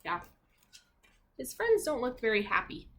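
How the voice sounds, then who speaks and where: Slow, higher-voiced woman reading a storybook. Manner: expressive reading, pausing to ask simple questions. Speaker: teacher reading aloud. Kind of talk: children's storybook read-aloud with questions to listeners.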